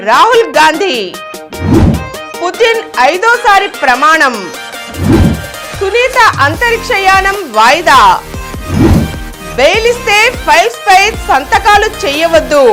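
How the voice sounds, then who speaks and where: A woman news reader with a high voice and lively, swinging intonation. She reads scripted bulletins formally and enunciates each phrase clearly.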